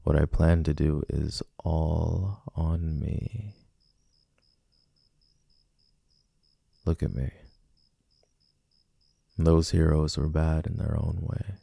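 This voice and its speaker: Very deep, gravelly flirtatious villain played by a man. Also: steady tone, slow pace, warm tone, no room sound, studio quality, exaggerated voice. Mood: teasing, seductive. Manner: teasing, smooth-talking, intimate. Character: flirtatious rejected male villain wooing a civilian, smug, possessive. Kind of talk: ASMR romantic roleplay.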